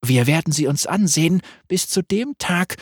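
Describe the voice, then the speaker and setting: A man voicing a gentle, eerie female death spirit, speaking softly and calmly in a light, breathy tone. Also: on the high side, normal speed, no room sound, studio quality, pitched above the performer's own voice. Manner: soft, lilting, calmly consoling.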